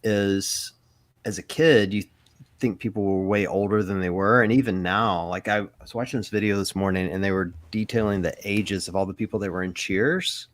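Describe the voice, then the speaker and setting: A man's voice: low voice, steady tone, normal speed. A middle-aged man from Mississippi chatting casually and rambling a bit as co-host of a live Q&A. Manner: rambling, casual, swears freely.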